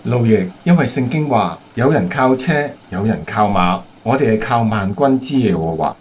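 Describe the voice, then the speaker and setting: A male actor playing an earnest, steady young bomber captain in a radio drama. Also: fairly low pitch, moderate pace, dull audio, a little hiss or hum, close to an everyday voice. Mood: calm, assured.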